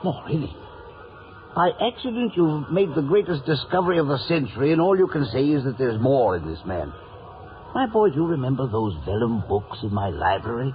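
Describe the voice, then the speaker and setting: An actor playing a pompous, bookish, condescending gentleman who blusters and stammers when flustered, in 1950s radio drama style. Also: mid-range voice, big pitch swings, fairly quick, lightly acted. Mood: awed, agitated. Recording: old radio broadcast, narrow band, music behind.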